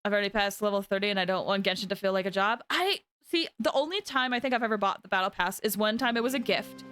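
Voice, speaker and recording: A woman's voice: mid-range voice, a bit bright. A woman game streamer chatting casually to her live viewers. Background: game music.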